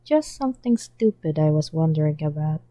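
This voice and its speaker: A woman reading a podfic, voicing a gentle young man who is a mafia boss, pouty and hesitant. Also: low-pitched, animated, normal speed, no echo, voice pushed down. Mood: flustered.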